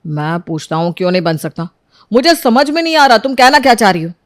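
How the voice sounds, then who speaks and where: A woman voicing a bossy, sarcastic young husband in an animated story, scolding his wife. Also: mid-range voice, quick pace, dry recording, studio quality, lightly acted.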